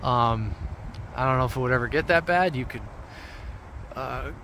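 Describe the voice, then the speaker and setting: Guy doing a rambly casual how-to vlog outside, average pitch, stops and starts, bit of noise behind him. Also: pushed and bright. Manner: informal, rambling, halting. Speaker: hobby radio vlogger. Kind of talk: casual off-the-cuff how-to explanation. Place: outdoors among trees. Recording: outdoor handheld video with background noise.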